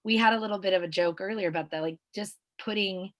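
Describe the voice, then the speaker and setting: A woman's voice: medium pitch, quick pace, a bit bright. A woman interviewing someone casually, in an informal, enthusiastic way.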